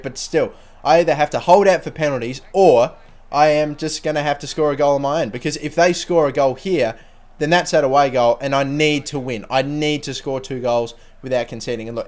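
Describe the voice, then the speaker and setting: A young man with a sore, fading voice, casually commentating his own football video game career, rambling and then bursting into excitement at goals. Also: on the high side. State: sore throat, losing his voice. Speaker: gaming channel host.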